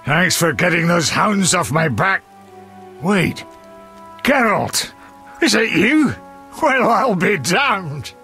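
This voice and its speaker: An actor playing a gruff, hearty dwarf warrior in a fantasy game, raspy, blunt and familiar. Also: male voice, sits high, very animated, slow, long pauses, bright, forceful tone, faint music behind, heavily acted. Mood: surprised, hearty. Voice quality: gravelly, raspy. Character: gruff, hearty male dwarf warrior, loyal old pal. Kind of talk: fantasy video game dialogue.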